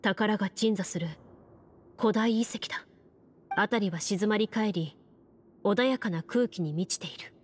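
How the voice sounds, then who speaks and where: An actress playing a serious, composed game master, narrating a fantasy quest in measured storytelling for a video game. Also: female voice, mid-range voice, slightly rough. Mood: composed narration. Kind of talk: Japanese game voice acting, scripted narration.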